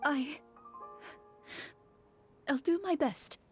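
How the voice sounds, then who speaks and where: A woman's voice: high voice, very slow, faint music behind, pitched above the performer's own voice. A woman voicing a troubled soldier's wife, polite and hesitant, in a fantasy game. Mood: anxious, hesitant.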